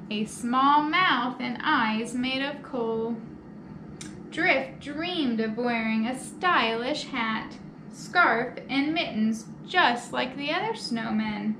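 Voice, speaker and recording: A woman's voice: high-pitched, animated, takes it slowly. A young woman hosting storytime, reading a picture book aloud to children and addressing young listeners with simple questions. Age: young adult. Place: indoor children's library room. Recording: roomy echo, clear background noise.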